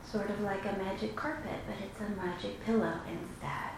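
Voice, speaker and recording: A woman leads a guided meditation, giving gentle instructions with long pauses between phrases. Her mid-pitched voice rings in a very reverberant room. There is clear noise behind her. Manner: slow, soothing, instructive delivery with long pauses. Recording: very echoey room, clearly noisy background.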